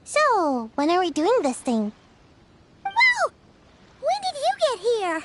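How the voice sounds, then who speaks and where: A tiny childlike fairy companion from a fantasy game. The voice is extremely high and squeaky and leaps up and down with blunt, excitable exclamations, over clear background noise. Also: female voice, slow pace, exaggerated voice. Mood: startled. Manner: squeaky, exclaiming, speaks of herself in third person. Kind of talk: video game voice acting.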